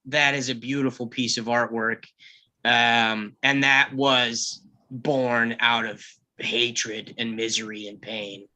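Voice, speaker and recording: A man's voice: mid-range voice, sharp tone. A man in casual discussion, talking in a rambling, hedging way peppered with "you know". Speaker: table-read panelist.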